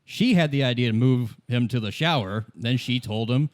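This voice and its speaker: A man's voice: mid-range voice, moderate pace, natural voice. A man playing a wary, bitter fugitive woman in a tabletop radio play, dismissive and sardonic, repeating himself for emphasis. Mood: guarded, insistent. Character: wary red-haired gunwoman in hiding, defiant and bitter.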